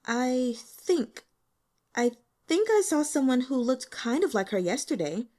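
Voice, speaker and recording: A woman voicing a nervous, evasive young female student in an audiobook, hesitant and halting. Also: high voice, sing-song, a little mellow, pitched above the performer's own voice. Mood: hesitant, recalling. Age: young adult. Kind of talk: audiobook character dialogue.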